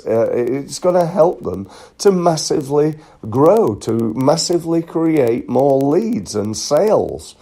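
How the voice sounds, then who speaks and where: A middle-aged man, hoarse and gravelly from a bad cold, giving an informal motivational business podcast monologue as a coach. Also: mid-range voice, warm tone.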